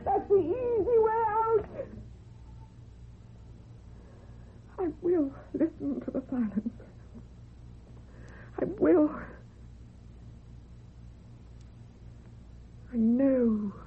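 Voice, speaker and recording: A woman's voice: high voice, slow, pauses often, faint music behind. An actress playing a lonely, frightened, ageing woman in a theatrical, tremulous old-time radio horror style. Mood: desperate, defiant. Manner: theatrical, tremulous, talking to herself. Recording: dull vintage radio recording, faint hiss.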